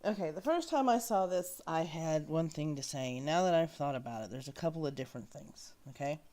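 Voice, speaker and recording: A woman's voice: sits low, expressive, fairly quick, dark tone. A woman hosting a reaction show, giving casual, opinionated and critical commentary on a film clip, stressing key words.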